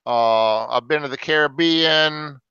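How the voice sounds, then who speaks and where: An older man from Alabama leads an English conversation group, explaining with slow, patient examples. His voice is bright and crisp. The recording is dry and a little dull. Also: on the high side, dry recording. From Alabama, United States. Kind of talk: explaining to learners in a discussion group.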